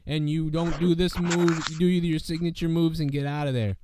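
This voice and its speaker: A man's voice: on the high side, steady tone, normal speed, background noise. A male podcast host who is sick and losing his voice, talking casually and profanely in a rambling way. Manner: rambling, peppered with "you know what I mean". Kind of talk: casual profane show commentary.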